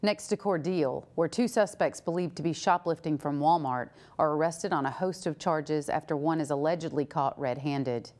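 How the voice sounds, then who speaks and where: A woman's voice: low-pitched, moderate pace, hardly any pauses, darkish tone. A middle-aged woman anchoring a newscast, reading scripted news in a studio.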